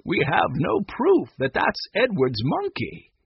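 A man voicing a kindly old grandfather in a storybook reading, with a calm, gentle, reassuring manner. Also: a little high, unhurried, vocal fry, husky, no echo, dull audio, voice pushed up, full character voice.

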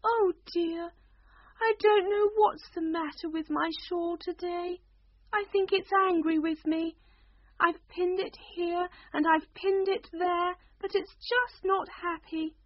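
A woman voicing a flustered, dithering but kindly queen in a storybook reading, with high, fluttery exclamations. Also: steady tone, takes it slowly, no echo. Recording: dull lo-fi recording.

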